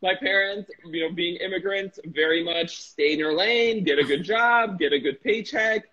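A woman's voice: on the low side, moderate pace, fairly bright tone, dull audio. A woman in her thirties, born in the former Soviet Union with Russian as her first language, chatting animatedly as an interview guest over a video call, with lots of fillers and restarts. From former Soviet Union, raised in Chicago. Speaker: guest, marketing entrepreneur. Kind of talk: casual interview chat. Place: small room with a glass wall, indoors. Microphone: laptop webcam on a video call.

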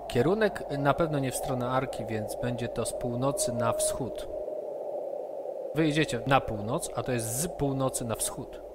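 A man's voice: mid-range voice, normal speed. A man acting as game master, narrating an online tabletop role-playing session. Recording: online session with loud background noise.

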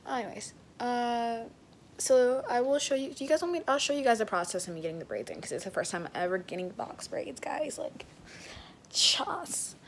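A teenage girl chatting casually to viewers in a vlog, her voice a bit raspy, filmed on a phone held close in a small bedroom. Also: fairly high pitch, animated, moderate pace, a little hiss or hum. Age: late teens. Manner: chatty, rambling, addresses viewers as guys. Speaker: vlogger. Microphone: phone held close at arm's length.

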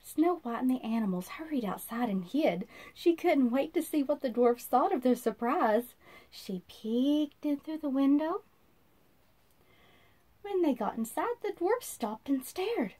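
A woman in her thirties reading a children's storybook aloud in an expressive, sing-song manner, as if to young listeners. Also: sits high, measured pace. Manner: sing-song, animated, asks listeners questions. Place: small indoor room.

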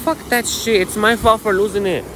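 A man's voice: sits high, fairly even intonation, brisk, fairly bright tone, low-quality recording. An American man in his thirties vlogging casually into his phone, with a slangy, profane, boastful way of talking. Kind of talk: casual rambling phone vlog. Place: outdoors on a dirt path by a canal. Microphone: phone held at arm's length. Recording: outdoor ambient noise on phone.

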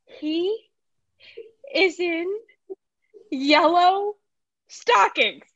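A woman's voice: very high-pitched, extremely slow, a bit bright, full character voice. A young woman playing a mischievous, giggly maidservant with exaggerated delivery in a Shakespeare comedy read over video call. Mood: gleeful, scheming. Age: young adult. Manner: giggly, breaking into laughter, exaggerated. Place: living room sofa, video call. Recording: dull echoey video call audio.

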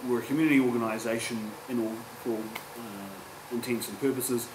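A New Zealand man in his forties speaking on a public panel, earnest and halting, with frequent restarts. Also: medium pitch, steady tone. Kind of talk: panel discussion. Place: university lecture room. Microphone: table microphone, distant. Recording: echoey room with background hum.

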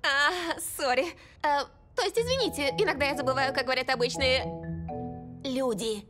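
An actress voicing a bubbly, nervous young female game animator in a cartoon dub, excitable and slangy. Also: high voice, moderate pace, over music, natural voice. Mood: excited, nervous.

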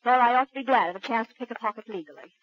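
A woman's voice: on the high side, very fast, close to an everyday voice. An actress playing a spirited, outspoken small-town woman, direct and plain-spoken, in 1940s radio style. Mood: confiding, indignant. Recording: dull, hissy 1940s radio recording.

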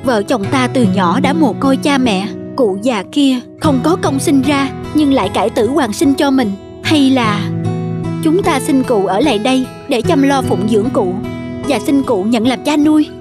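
A woman voicing a gentle, devoted young peasant wife in an animated folk tale, speaking with tender respect over music. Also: sits high, moderate pace. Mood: earnest, compassionate.

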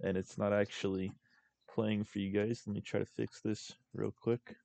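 A young man hosting a casual screen-recorded software tutorial as a voice-over. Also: lowish voice, level tone, normal speed, vocal fry, no room sound. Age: young adult. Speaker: tutorial host.

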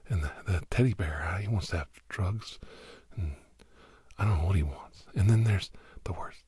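A middle-aged man in a whispered ASMR podcast monologue, breathy and secretive, rambling haltingly and repeating words, close to the mic. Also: warm tone, no room sound. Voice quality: breathy whisper breaking into low murmur. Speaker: podcast host.

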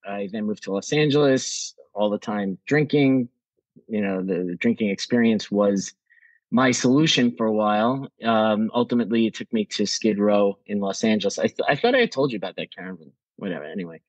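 A man's voice: lowish voice, a little fast, no echo. A man from New York, a guest speaker telling his recovery story in a conversational, rambling way, full of restarts. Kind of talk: personal recovery story share. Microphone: video call. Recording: dull, dry video call audio.

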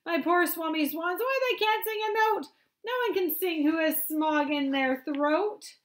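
A woman reading a picture book aloud, voicing a small grumpy old male forest creature who coughs and scolds slowly. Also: extremely high pitch, pitched above the performer's own voice. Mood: mournful. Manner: scolding, sniffling, slow and drawn out.

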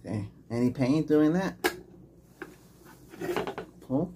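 Middle-aged ASMR doctor, noisy recording. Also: male voice, a little high, expressive, slow pace. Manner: short soft questions and instructions, reassuring. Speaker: ASMR creator playing an ER doctor. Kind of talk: ASMR medical exam roleplay. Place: small indoor room set up as a clinic.

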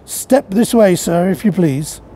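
A man's voice: sits high, animated, unhurried, warm tone, full character voice. A man voicing an elderly reformed miser, coaxing and then jovial. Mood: mock-gruff. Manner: coaxing, then mock-gruff, then beaming. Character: miserly old man turned jolly, eager.

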